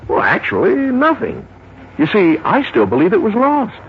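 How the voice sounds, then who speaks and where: An American actor playing a gossipy, easygoing small-town detective sergeant in a folksy, rambling 1950s radio drama style. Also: male voice, high-pitched, wildly swooping pitch, normal speed. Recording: muffled, noisy vintage radio recording.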